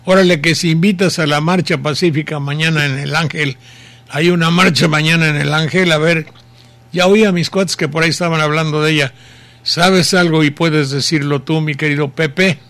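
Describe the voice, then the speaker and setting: A man's voice: a little high, fairly even intonation, a bit bright. An older man with a Mexican accent hosting a radio show, reading listener messages in a rambling, folksy way, with a sore throat. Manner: rambling, folksy, slow and unhurried. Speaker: radio host. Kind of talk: casual on-air commentary reading messages. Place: home study with bookshelves.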